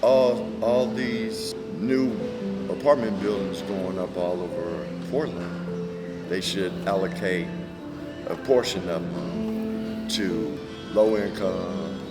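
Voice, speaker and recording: A man speaking plainly and haltingly in an unscripted interview. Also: deep voice, steady tone, over loud music.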